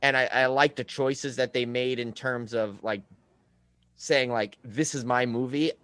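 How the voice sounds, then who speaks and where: A man hosting a podcast, chatting casually about films in halting phrases that often circle back. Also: medium pitch, steady tone, fairly bright tone, clear, resonant tone, no echo. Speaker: podcast host. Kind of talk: casual film chat.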